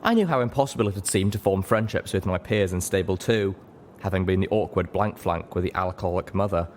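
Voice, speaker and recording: A man's voice: deep voice, darkish tone, slight background noise. A man reading first-person fiction aloud as scripted audiobook narration. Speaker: audiobook reader.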